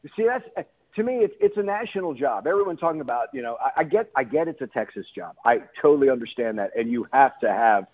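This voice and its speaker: A man's voice: medium pitch, animated, fast, phone-quality sound. A male sports announcer speaking as a guest on a radio talk show, emphatic and often repeating and rephrasing himself.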